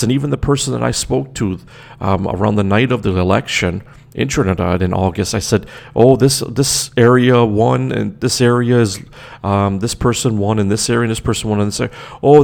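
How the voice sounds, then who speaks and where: A man's voice: lowish voice, gravelly, a little mellow. A man from Canada hosting his own show, talking emphatically with many fillers and restarts into a desk microphone. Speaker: podcast host. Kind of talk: opinionated solo commentary.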